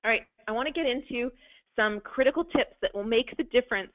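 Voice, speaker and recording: A woman's voice: a little high, a little fast, dry recording. A woman presenting a live real estate investing webinar, explaining at length with frequent fillers and restarts while her voice wears thin. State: losing her voice. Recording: dry, phone-like webinar audio.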